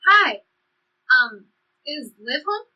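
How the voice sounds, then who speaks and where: A woman's voice: high-pitched, very animated, very slow, muffled recording, full character voice. A woman playing a blunt, impulsive seventeen-year-old girl in a stage play reading. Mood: eager, curious. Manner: blunt, impulsive teenage speech.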